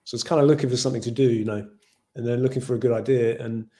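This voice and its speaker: Man with an English accent, dark tone. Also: medium pitch, steady tone, racing. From Ireland. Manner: thoughtful, rambling with many "you know" fillers. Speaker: film director. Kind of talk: video call interview. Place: home room with shelves. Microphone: video call.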